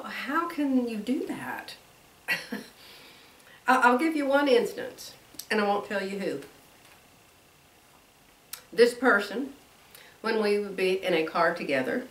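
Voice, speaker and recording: A woman's voice: medium pitch, fairly bright tone. A woman in her sixties giving a casual devotional talk, a little wheezy from allergies, chatty and laughing often. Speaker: devotional host. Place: room with a quilt backdrop. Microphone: camera at a distance, standing at a lectern. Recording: roomy and distant.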